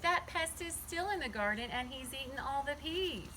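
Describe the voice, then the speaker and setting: An excitable, complaining storybook farm animal played by a woman reading aloud. The voice is raised in pitch and exclamatory, with clear noise on the recording. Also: normal speed. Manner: exclamatory read-aloud character voice.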